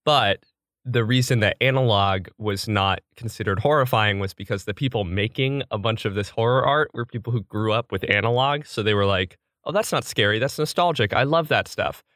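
Male podcast co-host, young adult, fairly low voice, dry recording, casual and jokey, performs little imagined reactions. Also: brisk, a bit bright, no echo. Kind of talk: casual chat.

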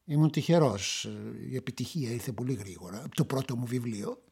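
An actor playing a friendly, smiling middle-aged male crime novelist in a radio play, encouraging and unhurried. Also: mid-range voice, expressive, mellow, husky. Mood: relaxed, encouraging. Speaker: established writer in a radio drama.

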